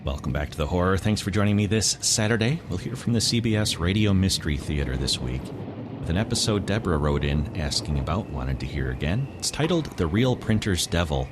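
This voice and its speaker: Low-voiced man hosting, audible background noise. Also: lively intonation, a little fast. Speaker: podcast host. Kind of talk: scripted episode introduction. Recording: clearly noisy background hum.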